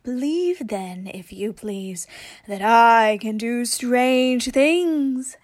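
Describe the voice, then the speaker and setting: A woman's voice: on the high side, expressive, extremely slow, no room sound, studio quality. A woman playing a witty young woman disguised as a youth in a Shakespearean radio play. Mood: lively wit.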